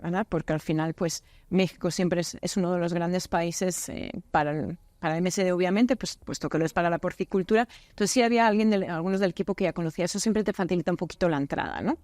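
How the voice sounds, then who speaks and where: A woman's voice: on the low side, quick pace, few pauses, darkish tone, dry recording. A woman from Spain, a business unit director, talking as a podcast guest in a friendly, candid, conversational way in a studio. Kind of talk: casual interview chat.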